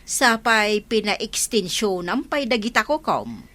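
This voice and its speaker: A woman's voice: mid-range voice, big pitch swings, slow pace, a bit bright, dry recording. A woman playing a gossipy, teasing village friend in an Ilocano Filipino comedy drama.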